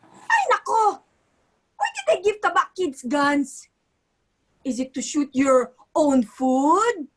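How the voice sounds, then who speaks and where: Actress doing older filipino mom w accent, high pitched, slow talker, nosy n naggy, very dramatic, comedy play reading. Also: female voice, sing-song, exaggerated voice. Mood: scolding. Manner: dramatic, scolding, exclaiming. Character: nagging, guilt-tripping Filipino mother, older, devout, nosy. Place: virtual live event, recorded remotely.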